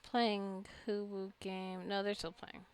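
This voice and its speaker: A woman's voice: medium pitch, level tone, slow pace, smooth. A woman giving casual let's play commentary, chatty and rambling as she muses aloud. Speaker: gamer narrating own gameplay.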